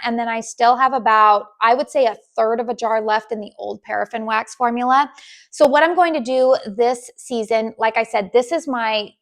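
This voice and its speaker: A woman who hosts a candle channel, from the United States, chatting casually to her viewers with a raspy voice, with many ums and restarts. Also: fairly high pitch. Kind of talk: casual chat to camera.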